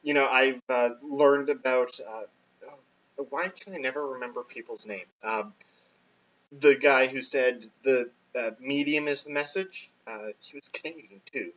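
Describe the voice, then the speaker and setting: A young man co-hosting a film podcast, talking bluntly about his opinions, with many filler pauses. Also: steady tone, a bit bright. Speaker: podcast co-host. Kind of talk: casual film podcast chat. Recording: muffled low-quality recording.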